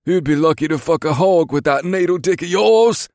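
Man doing a scornful elf soldier, raised pitch. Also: normal speed, darkish tone, no room sound, clean studio sound, close to an everyday voice. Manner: warning, scoffing.

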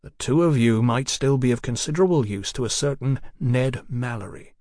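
A man voicing a haughty gentleman doctor in an audiobook, formal and commanding. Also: mid-range voice, moderate pace, dry recording, clean studio sound, lightly acted. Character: self-assured gentleman doctor, haughty and generous.

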